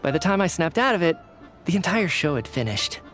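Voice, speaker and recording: A man's voice: a little high, big pitch swings, fairly quick. A man voicing an earnest, flustered researcher in a video game, hesitant and prone to stammering. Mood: apologetic, candid. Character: flustered, earnest male researcher, an apologetic theater fan. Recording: music under the voice.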